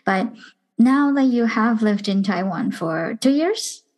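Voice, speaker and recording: A woman's voice: fairly high pitch, lively intonation, slow, a little mellow. A woman interviewer asking questions in a polite, appreciative way.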